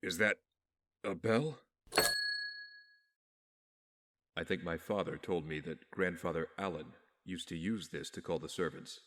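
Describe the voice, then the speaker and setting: A man playing a wounded, despairing young vigilante in an audio drama, speaking in a weak, weary, brooding and halting way. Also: low voice, heavy background noise, close to an everyday voice. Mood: weak, dazed. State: weak, badly wounded (acted). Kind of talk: fan audio drama inner monologue. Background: music.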